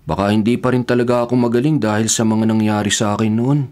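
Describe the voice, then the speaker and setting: A man's voice: fairly low pitch, level tone, fast talker, a little mellow, close to an everyday voice. An actor playing an earnest, troubled young male student in a Filipino radio horror drama. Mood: worried, earnest. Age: young adult.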